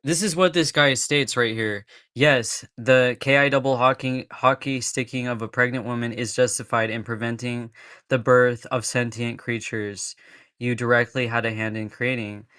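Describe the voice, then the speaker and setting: A man's voice: mid-range voice, fairly even intonation, moderate pace, bright, forceful tone, clear, resonant tone, dry recording. Man streamer, rambling and nervous, close dry mic. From California. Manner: rambling, repeats clips, nervous laughs. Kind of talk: casual livestream chat.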